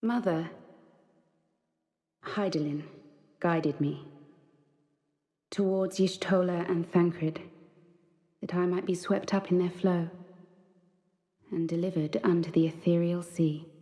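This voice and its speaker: An actress playing a serene, solemn emissary of a goddess in a fantasy game, speaking in stately, archaic, halting phrases. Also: female voice, fairly low pitch, fairly even intonation, warm tone. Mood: solemn, sorrowful. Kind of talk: fantasy video game cutscene acting. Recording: long cavernous reverb.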